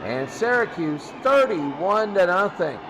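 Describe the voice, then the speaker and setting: A man gives off-the-cuff football score commentary as a host. His voice sits high and he goes slowly, in short clipped fragments with pauses, over clear background noise. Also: big pitch swings. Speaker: sports studio host.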